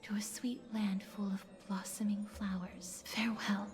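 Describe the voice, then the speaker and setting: A woman voicing a solemn, gentle young woman in a video game, speaking softly and breathily in formal lines. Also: medium pitch, flat delivery, measured pace, faint music behind, lightly acted. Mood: tender, grave. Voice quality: soft, breathy, often near a whisper.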